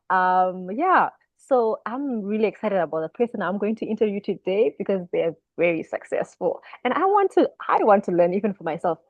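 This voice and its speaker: A woman's voice: mid-range voice, no echo. A woman from Zimbabwe hosting a podcast, upbeat and enthusiastic as she introduces her guest. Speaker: podcast host. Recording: tinny dry phone-like line.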